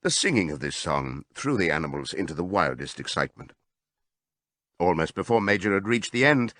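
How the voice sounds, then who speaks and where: Male audiobook narrator, lively intonation. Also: deep voice, no room sound. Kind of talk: scripted literary narration.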